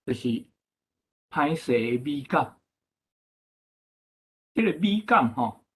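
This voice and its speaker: A man with a Taiwanese accent sharing informal comments at a meeting, halting and adding frequent tag particles to his phrases. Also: mid-range voice. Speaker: discussion participant giving feedback.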